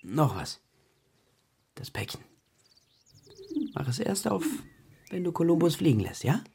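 Tired, wry father for a children's audio play. Dark, creaky voice, few words, tender underneath. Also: fairly high pitch, big pitch swings, a little slow, heavily acted. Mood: gently teasing. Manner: dry, laconic, understated. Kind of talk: German radio play for children.

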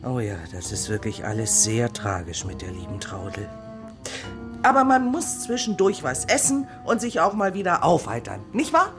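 A woman's voice: extremely low pitch, wildly swooping pitch, moderate pace, music in the background, exaggerated voice. Lilting motherly joke-shop lady. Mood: sympathetic. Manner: sing-song, coaxing. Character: cheerful, motherly joke-shop lady fussing over children. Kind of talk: children's radio play acting.

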